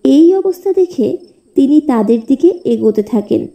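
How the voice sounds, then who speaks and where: A female narrator tells a scripted moral story for a cartoon. Her voice is fairly high yet extremely mellow and rounded in tone. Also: animated, unhurried.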